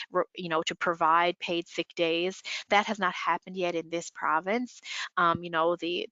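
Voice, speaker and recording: A congested woman explains workplace rules over a video call, her voice lowish yet bright and forward. She talks conversationally, leaning on "you know" and "um". The sound is dry and slightly muffled. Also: no echo. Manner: filler-heavy, conversational, many "you know"s. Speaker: employment lawyer panelist. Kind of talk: webinar discussion, advice. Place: home, remote call.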